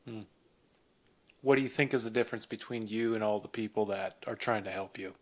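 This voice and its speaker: A man's voice: fairly low pitch, fairly quick. A man hosting a podcast, asking his guest questions in a casual interview style.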